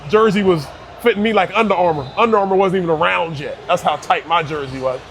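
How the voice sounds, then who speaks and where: A man's voice: sits high, fast talker, fairly bright tone, a little hiss or hum. A man in his thirties from Barbados, a youth basketball coach talking earnestly in an interview, often restarting his words. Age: 30s. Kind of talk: casual interview conversation.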